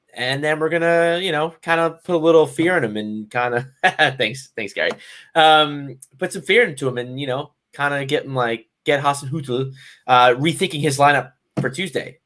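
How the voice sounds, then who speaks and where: A man's voice: mid-range voice, fairly bright tone. Rambling football podcast co-host man. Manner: rambling, thinks aloud with fillers. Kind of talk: casual football podcast chat.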